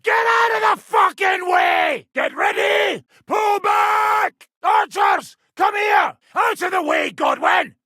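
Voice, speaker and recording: An actor playing a gruff, brash, middle-aged medieval mercenary nobleman in a video game, with a raspy, gravelly voice. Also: very high-pitched, slow pace, few pauses, sharp tone, no echo, raised voice, clean studio sound, some chatter behind, heavily acted. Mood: urgent battle shouting. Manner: brash, sardonic, swearing.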